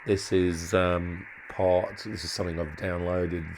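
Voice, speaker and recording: A man's voice: sits low, little pitch movement, measured pace, a little mellow, a little hiss or hum. A man giving an informal hands-on demonstration as a hobbyist, thinking aloud in a hesitant way and often trailing off. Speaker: hobbyist presenter demonstrating modified radio gear.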